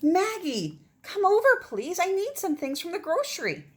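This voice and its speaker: A woman's voice: very high voice, huge pitch swings, moderate pace, voice pushed up, exaggerated voice. A woman voicing a storybook mother who calls out to her daughter in a projected voice. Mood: calling, polite. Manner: calling out, projected.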